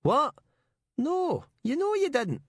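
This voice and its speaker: Brash Glaswegian newspaperman, pitched high, broad accent, mouthy and combative, audiobook crime story. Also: huge pitch swings, slow, no room sound, studio quality, full character voice. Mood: startled. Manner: sweary, indignant, mouthy. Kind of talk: audiobook character acting.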